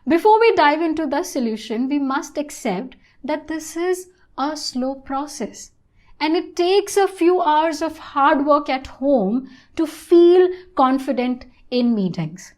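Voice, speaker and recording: A woman presenting an online English lesson in a scripted, instructional style, with deliberate and emphatic phrasing. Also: sits high.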